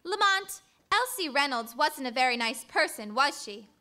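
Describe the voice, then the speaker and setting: A woman's voice: high voice, animated, moderate pace, sharp tone. A woman acting an earnest, inquisitive companion to a crime fighter in an old-time radio drama. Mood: earnest, curious. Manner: theatrical, earnest.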